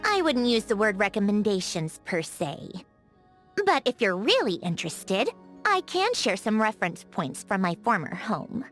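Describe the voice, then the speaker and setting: An actress voicing a haughty, prickly young genius lady automaton in a video game, huffy and easily flustered, with a secretly soft heart. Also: mid-range voice, sing-song, moderate pace, faint music behind. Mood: proud, composed. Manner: haughty, huffy, flustered when caught being kind. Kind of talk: scripted video game character voice acting.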